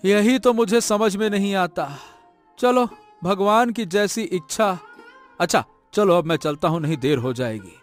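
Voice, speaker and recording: A man's voice: sits high, unhurried, faint music behind. A man playing a poor, exasperated village woodcutter husband in a cartoon story, grumbling and whining. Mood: grumbling, resigned. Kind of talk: Hindi cartoon moral story voice acting.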